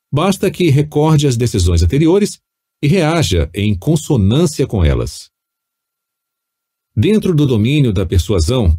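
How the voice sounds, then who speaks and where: Lively Brazilian man narrating, slightly husky. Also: fairly low pitch. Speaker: audiobook narrator. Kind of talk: scripted audiobook narration of nonfiction.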